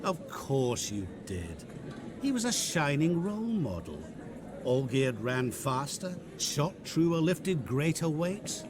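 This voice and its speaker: An actor playing a mysterious, smooth-talking male stranger who is courteous, theatrical and taunting, in a video game cutscene. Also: on the high side, sing-song, takes it slowly, crowd noise. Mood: mocking. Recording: game cutscene with music score.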